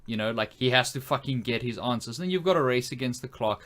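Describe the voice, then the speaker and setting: A man's voice: mid-range voice, expressive, fast talker, fairly bright tone. A man co-hosting a podcast, speaking emphatically in casual film chat and swearing freely. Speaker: podcast co-host. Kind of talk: casual panel chat about films.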